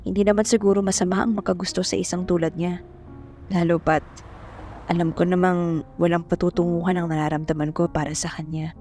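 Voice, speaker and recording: A woman's voice: lowish voice, steady tone, fairly quick, warm tone, soft background music, natural voice. A woman playing a shy, smitten young woman narrating an audio drama, with a Filipino accent.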